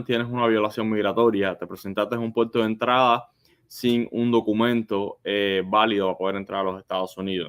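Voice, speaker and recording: Lowish-voiced male immigration lawyer. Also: fairly bright tone. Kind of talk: live legal advice, explanatory.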